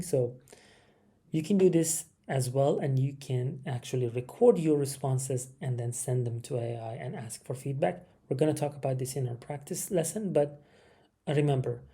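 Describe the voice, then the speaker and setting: A man with a Persian accent, speaking English as a second language, teaching an online test-prep lesson in a clear, instructive way. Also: medium pitch, dark tone. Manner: explanatory, instructive, with frequent fillers and restarts.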